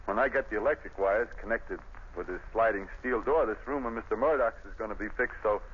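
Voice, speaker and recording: A man's voice: lowish voice, fairly quick, natural voice. An actor playing a naive, eager male electrician in a 1930s radio drama, chatty and a bit hesitant. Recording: tinny, hissy old radio broadcast.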